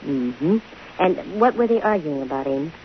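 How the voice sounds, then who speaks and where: Low female voice, wry private eye, 1950s radio drama, muffled hissy recording. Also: lively intonation, measured pace. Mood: probing. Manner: dry, wisecracking. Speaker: lead actress and first-person narrator of a detective radio show.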